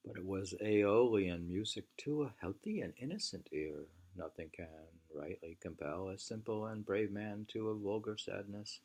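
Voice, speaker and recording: An American man reading a literary book aloud in an unhurried, musing manner, often pausing to repeat or mull over phrases. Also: fairly low pitch, lively intonation, a little mellow. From New England, United States. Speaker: reader.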